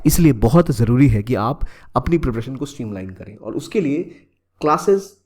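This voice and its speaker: A man's voice: medium pitch, expressive, brisk, a little mellow. A young man from India giving an explanatory current-affairs lesson for exam students, talking conversationally, dropping English phrases into his speech and often correcting himself mid-sentence. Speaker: exam-prep educator.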